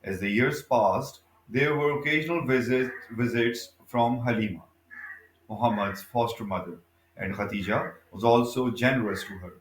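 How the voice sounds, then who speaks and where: A man reading a biography aloud as scripted narration, in a halting way, often stumbling and correcting himself. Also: medium pitch, a little hiss or hum. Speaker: audiobook reader. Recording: echoey, reverberant room.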